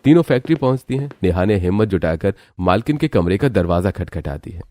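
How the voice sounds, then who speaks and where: A man's voice: low-pitched, lively intonation, a little fast, a little mellow. A man narrating a scripted cartoon family story.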